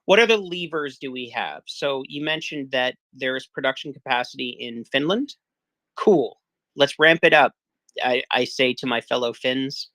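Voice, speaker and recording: A man's voice: medium pitch, normal speed, sharp tone, no echo, poor audio. A man from Canada on a live audio panel, talking casually and informally with jokes. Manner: joking, informal. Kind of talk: casual discussion.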